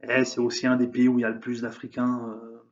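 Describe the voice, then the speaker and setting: A man's voice: medium pitch, monotone, brisk, highs cut off. A man giving an emphatic, heated opinion monologue, hesitating often with fillers. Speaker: vlogger. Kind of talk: opinion monologue on current events.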